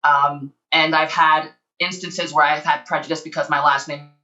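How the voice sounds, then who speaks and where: A woman's voice: low-pitched, level tone, moderate pace, bright, forceful tone. A woman giving an earnest, somewhat hesitant personal account as a panelist on a video call. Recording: slightly dull video call audio.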